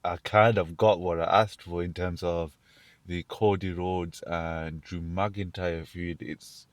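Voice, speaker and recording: Croaky man with a deep voice. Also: flat delivery, slow pace, no room sound. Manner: rambling, with frequent restarts and fillers. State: croaky from illness. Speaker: show host. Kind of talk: casual wrestling commentary monologue.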